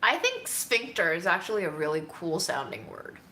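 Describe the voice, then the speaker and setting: A woman's voice: lowish voice, sing-song, moderate pace, bright, forceful tone, slight background noise. A woman chatting casually as she answers viewer questions, musing and easygoing. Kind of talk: casual Q&A chat.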